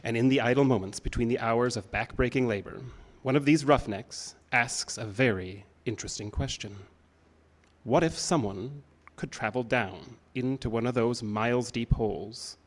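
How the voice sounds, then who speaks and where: A man's voice: medium pitch, moderate pace. A man announcing in a scripted, dramatic style, as a presenter introducing a play. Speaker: theater announcer.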